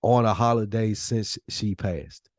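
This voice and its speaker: American male podcast co-host, lower register, dry sound, informal slang-heavy conversational storyteller who swears freely. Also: unhurried, dry recording. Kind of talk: casual chat with animated storytelling.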